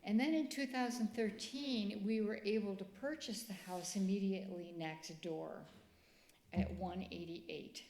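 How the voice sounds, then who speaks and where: A middle-aged woman, speaking softly in a church presentation. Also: medium pitch, fairly even intonation, measured pace. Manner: soft-spoken, quiet voice. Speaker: ministry speaker. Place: church sanctuary. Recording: echoey church room.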